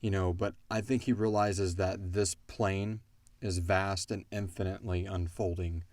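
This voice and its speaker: Male podcast host, low voice, informal and tangent-prone, thinking aloud in a dry, close-sounding recording. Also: steady tone, unhurried, a little mellow, dry recording. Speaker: podcast host and audio drama creator. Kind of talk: casual question-and-answer chat.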